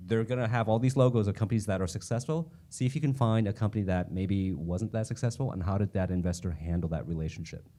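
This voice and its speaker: A male panelist explains investor tips in a relaxed, conversational way, pausing on fillers and checking in with the audience. His voice is low and mellow. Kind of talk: panel advice.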